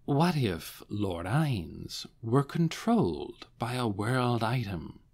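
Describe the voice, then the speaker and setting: A suave demon strategist, put on by a male narrator in a fantasy audiobook. He explains things patiently and precisely, like a deferential professor. His intonation is varied and deliberate. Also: medium pitch, big pitch swings, darkish tone, exaggerated voice.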